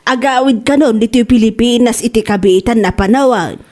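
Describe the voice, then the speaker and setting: A woman performs an elderly Ilocano mother in a comedy radio drama. She frets over her family in a fairly high voice with an Ilocano Filipino accent. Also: fairly quick, darkish tone, natural voice. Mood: wistful, hopeful. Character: Anita, elderly fretful wife and mother.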